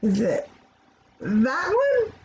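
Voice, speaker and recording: Female children's read-aloud host, very lively intonation, unhurried, speaks directly to young listeners. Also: high-pitched, lo-fi sound, slight background noise. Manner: expressive, playful, addressing children directly. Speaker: children's storybook reader. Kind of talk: animated picture-book read-aloud with asides to young listeners.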